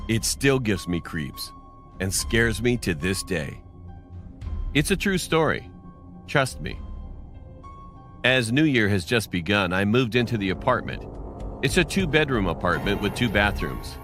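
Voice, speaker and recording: Lowish male horror narrator over music. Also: sing-song, normal speed, long pauses, fairly bright tone. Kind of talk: scripted first-person horror narration. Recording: music under the voice. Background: clearly audible music.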